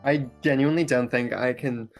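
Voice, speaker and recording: Guy w a medium pitched voice, gushing but halting, casual interview, music behind him. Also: fairly even intonation. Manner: effusive, searching for words, trailing pauses. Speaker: voice actor interviewed. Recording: background music under the talk.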